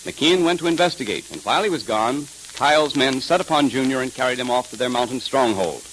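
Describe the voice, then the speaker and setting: A man's voice: medium pitch, expressive, fairly quick, fairly bright tone, poor audio. Emphatic American man announcing old-time radio. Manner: emphatic, dramatic delivery. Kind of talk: 1930s radio announcing, scripted ad and story narration. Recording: hissy 1930s radio transcription.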